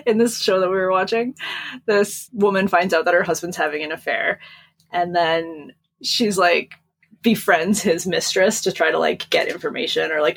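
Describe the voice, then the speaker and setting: A woman's voice: fairly low pitch, a bit bright, husky. A woman co-hosting a podcast, chatting casually about books in an informal, joking, slangy way. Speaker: podcast co-host. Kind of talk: casual book discussion chat.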